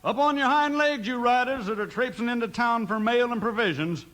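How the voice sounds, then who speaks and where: A man with an American accent giving a hearty, folksy cowboy-style sales pitch as a 1950s radio announcer. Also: fairly quick, fairly bright tone, soft background music. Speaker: announcer delivering sponsor advert. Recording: old radio broadcast recording.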